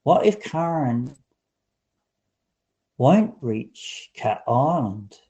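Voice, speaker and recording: A man's voice: mid-range voice, sing-song, very slow, warm tone, husky, muffled recording, full character voice. A man voicing a frightened, worried young girl in a storybook. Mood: alarmed. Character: frightened, fretful young fairy-tale girl.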